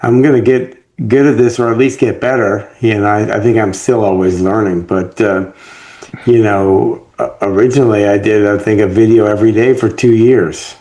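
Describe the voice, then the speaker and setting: A man's voice: lowish voice, fairly even intonation, moderate pace, warm tone, poor audio. A man hosting a casual conversation, who talks in a rambling way full of filler phrases. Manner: rambling, full of "you know". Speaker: host.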